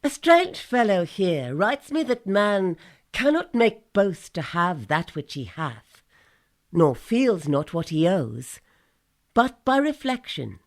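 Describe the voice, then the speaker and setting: Crafty classical strategist, lowish voice, deliberate slow pace, articulate verse speaking. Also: female voice, heavily acted. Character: Ulysses, cool, crafty Greek strategist. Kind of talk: Shakespearean audio drama acting.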